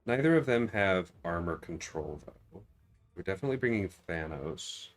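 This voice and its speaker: A man's voice: deep voice, lively intonation, normal speed. A man hosting a casual gaming livestream, thinking aloud in a rambling way as he chats to viewers. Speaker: livestream host.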